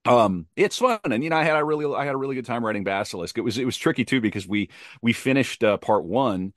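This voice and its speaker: A man's voice: mid-range voice, lively intonation, quick pace, no echo, studio quality. A man speaking as a podcast guest and playwright, talking casually and emphatically about his craft, often rephrasing for stress. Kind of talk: animated casual interview talk about craft.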